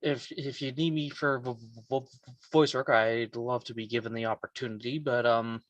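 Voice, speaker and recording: A man introducing himself casually, halting and hesitant as he goes. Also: mid-range voice, steady tone, dry recording, lo-fi sound. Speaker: aspiring voice actor introducing himself.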